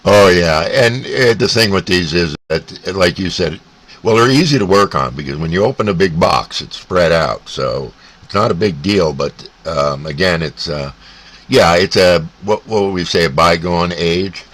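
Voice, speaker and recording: Deep-voiced North American man on CB radio. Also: lively intonation, normal speed, a bit bright. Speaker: CB radio operator. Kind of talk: casual two-way radio chat. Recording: faint static and radio hiss.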